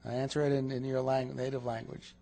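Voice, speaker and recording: A man's voice: medium pitch, completely flat delivery, fast talker, creaky, warm tone. A man interviewing a client in a counseling session, asking short questions and repeating her answers back to check them.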